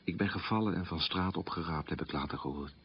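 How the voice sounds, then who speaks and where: An actor playing a sombre man recalling an abandoned wartime childhood in short, plain statements, in a low, husky voice for a radio play. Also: fast talker, a little hiss or hum. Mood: sombre, plaintive. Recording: dull lo-fi radio recording.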